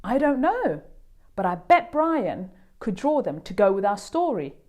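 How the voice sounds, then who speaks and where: A woman's voice: medium pitch, sing-song, unhurried, darkish tone. A woman voicing a kind, welcoming schoolboy from a picture book, informal and encouraging. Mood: upbeat.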